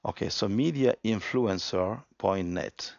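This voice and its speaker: A man preaching a sermon, reading scripture aloud and explaining it emphatically, with frequent restarts and swings from quiet to loud. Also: fairly low pitch, unhurried, creaky, no echo, highs cut off.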